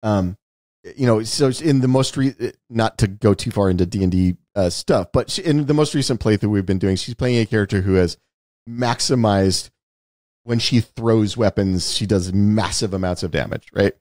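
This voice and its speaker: A man's voice: fairly low pitch, normal speed, a little mellow, no room sound, clean studio sound. A man co-hosting a casual film discussion, telling anecdotes in a chatty way and acting out little quotes. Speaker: podcast co-host discussing films.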